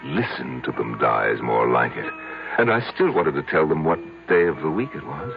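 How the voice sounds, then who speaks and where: An actor playing a brooding, troubled middle-aged man confessing haltingly to his doctor in a 1970s radio drama. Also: extremely low pitch, very animated, vocal fry, heavily acted. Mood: grim. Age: 50s. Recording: muffled radio broadcast with music.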